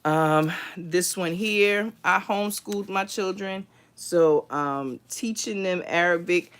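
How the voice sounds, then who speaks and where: Middle-aged woman, livestream book host, slow and reflective, clean resonant tone. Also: fairly low pitch, smooth. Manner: unhurried, thoughtful, many fillers and restarts. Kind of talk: casual livestream book talk.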